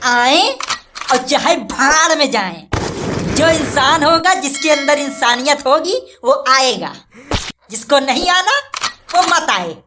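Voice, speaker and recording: A woman's voice: sits high, lively intonation, takes it slowly. A woman playing a principled young grandson in a cartoon, arguing emphatically as if giving a speech. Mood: defiant. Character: principled, defiant young grown grandson, a district officer. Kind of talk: cartoon comedy-drama acting. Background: music.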